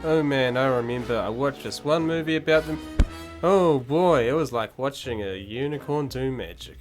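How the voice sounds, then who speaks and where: A man's voice: mid-range voice, moderate pace, soft background music, natural voice. A man voicing a brash, defensive female pony in a cartoon parody, cocky, evasive and prone to rambling. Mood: rambling excitement.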